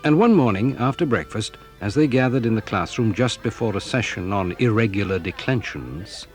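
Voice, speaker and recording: A man's voice: on the low side, animated, normal speed, lightly acted. A man with an English accent giving scripted storybook narration for a children's audio drama. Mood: narrating. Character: storyteller of a schoolgirl tale. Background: music.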